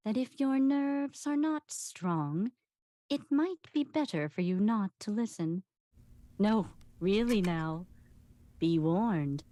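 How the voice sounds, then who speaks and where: Woman doing spooky radio horror narrator lady, slow and grave, dark mellow tone. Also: medium pitch, no room sound. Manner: deliberate, grave, foreboding. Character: ominous female horror-show narrator gravely warning listeners. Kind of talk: vintage radio horror introduction.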